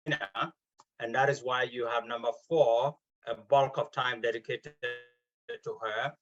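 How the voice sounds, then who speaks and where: A man's voice: mid-range voice, steady tone, takes it slowly, fairly bright tone, faint music behind. Guy chairing a session, lots of ums and uhs, polite and formal, muffled call audio. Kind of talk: formal welcome and introduction.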